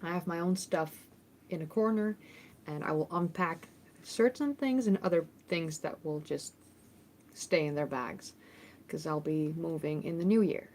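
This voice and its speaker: Chatty Dutch woman, lowish voice. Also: a little slow, a little mellow, slight background noise. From Netherlands. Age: 30s. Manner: chatty, thinks aloud with many ums and restarts. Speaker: history YouTuber and host. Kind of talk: casual livestream Q&A, reading chat questions. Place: temporary room in a family home. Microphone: phone microphone.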